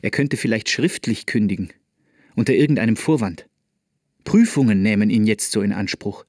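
A man delivering scripted, dramatic literary narration for a radio play with emphatic, deliberate phrasing. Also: fairly low pitch, darkish tone, no echo, studio quality.

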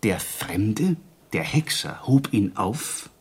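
An actor playing a superstitious, excitable male villager who tells a wondrous tale in a radio play, pausing dramatically for suspense. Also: lowish voice, slightly rough, heavily acted. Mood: excited, suspenseful. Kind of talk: radio play dialogue, oral storytelling.

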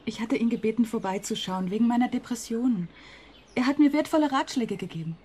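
Actress as high-voiced exasperated wife. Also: female voice, fairly quick, a little mellow, a little hiss or hum, natural voice. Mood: defensive, urging. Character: discontented, exasperated middle-aged wife, scornful of her husband. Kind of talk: German radio play acting.

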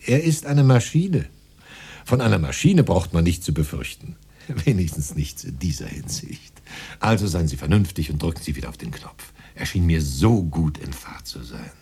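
Ein Mann spielt in einem Hörspiel einen erregbaren, beschwatzenden Dichter mit behauchter, rauer Stimme und ausdrucksvoller Sprechweise. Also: male voice, low voice, moderate pace, full character voice. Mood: alarmed, placating. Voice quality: husky, breathy. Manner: expressive, cajoling, muttering to himself at times. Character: excitable, cajoling male poet keen on gadgets. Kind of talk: conversational radio drama acting.

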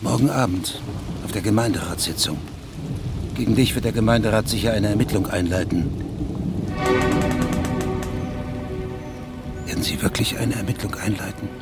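An actor playing a shrewd federal judge in a radio drama, formal, measured and deadpan, with pedantic precision. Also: male voice, lowish voice, loud music behind the voice, natural voice. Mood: grave, scheming.

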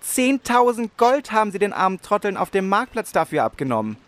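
Man as a lively, indignant courtly adventurer. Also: high voice, brisk. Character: courtly indignant male adventurer, tattling formally.

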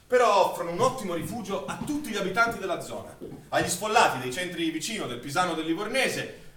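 An actor playing a bitter villager who recounts wartime occupation in a vivid, theatrical radio-play monologue. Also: male voice, high-pitched. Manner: expressive, dramatic storytelling delivery. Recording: roomy, distant stage recording with faint noise.

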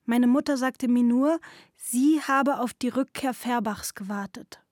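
An actress playing an adult daughter giving a measured, matter-of-fact witness statement in a documentary radio play. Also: female voice, a little high, darkish tone, dry recording, clean studio sound, close to an everyday voice. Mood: sober, factual. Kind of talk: scripted documentary radio play, formal reading.

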